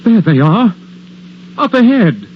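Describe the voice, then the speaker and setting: A man's voice: sits high, very animated, takes it slowly, heavily acted. An actor playing a frightened, reluctant small-town man, whining, hesitant and pleading, in 1940s radio drama style. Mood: awed alarm. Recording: muffled, noisy old radio recording.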